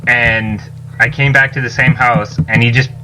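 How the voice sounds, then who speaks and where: A man's voice: mid-range voice, fairly even intonation, moderate pace, sharp tone, soft background music, rough recording. A man talking casually on a podcast, rephrasing himself as he goes.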